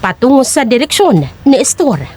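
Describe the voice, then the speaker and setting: A woman's voice: high-pitched, sing-song, moderate pace, a little mellow, husky. A woman narrating an audio drama in a dramatic, scripted storytelling style.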